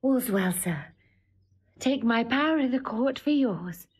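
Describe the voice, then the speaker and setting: A dignified young princess in classical audio drama. Her voice is high, and her delivery is formal and full of feeling. She sounds proud, loyal and theatrical. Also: expressive, a little slow, darkish tone, husky. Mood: gracious.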